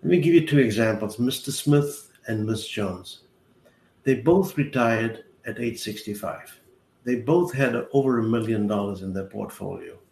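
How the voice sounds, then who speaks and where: Gravelly older man. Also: fairly low pitch, a little mellow. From South Africa. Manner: measured, methodical explainer. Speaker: accountant and retirement adviser guest. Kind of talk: explanatory interview answers. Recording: roomy call audio with faint hiss.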